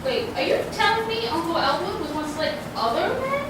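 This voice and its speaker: A woman playing a naive, nosy, excitable young daughter in a live radio-play comedy, girlish and theatrical. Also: very high voice, normal speed, background noise. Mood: puzzled, curious. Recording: very echoey hall, distant mic.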